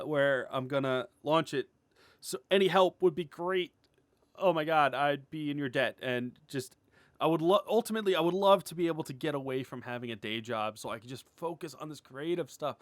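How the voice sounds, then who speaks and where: A man's voice: fairly high pitch, a bit bright, dry recording. Casual, enthusiastic man in a dry recording. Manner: enthusiastic, gushing; rambling, informal, heavy use of "like". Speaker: indie animator, writer and series creator. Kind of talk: casual, unscripted update vlog.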